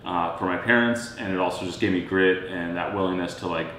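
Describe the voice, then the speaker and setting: Echoey-voiced man in his thirties, relaxed. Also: a little fast. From Serbia. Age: 30s. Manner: relaxed, rambling with fillers. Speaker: co-host. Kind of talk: casual chat. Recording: very echoey room, faint rumble.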